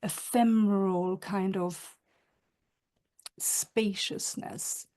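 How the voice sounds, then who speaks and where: A woman from northern Sweden, an author giving a reflective webinar talk, soft-spoken and halting with many fillers, over a video call. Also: mid-range voice, fairly even intonation. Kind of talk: reflective lecture with read quotations.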